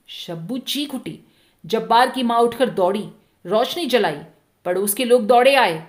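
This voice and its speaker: Female storyteller, fairly high pitch, scripted narration, expressive reading.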